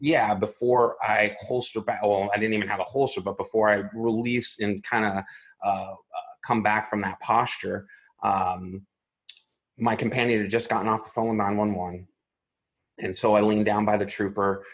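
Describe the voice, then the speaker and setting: Man, 40s, from Arizona, lowish voice; calm, methodical guest telling his story. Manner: measured, methodical, step-by-step telling. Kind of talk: recounting a personal story.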